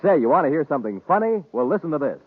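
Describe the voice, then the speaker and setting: A man's voice: fairly high pitch, very animated, very fast, slight background noise. A man announcing and selling on 1950s radio with folksy, enthusiastic sales patter. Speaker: announcer and sponsor pitchman. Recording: tinny 1950s radio recording.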